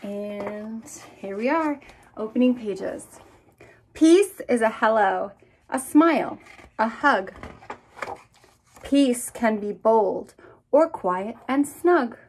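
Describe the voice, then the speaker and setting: A woman's voice: on the high side, expressive, takes it slowly, pauses often, darkish tone, slightly rough. A woman in her thirties, a children's author, reading picture books aloud expressively and speaking directly to young listeners. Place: small indoor room with bookshelves.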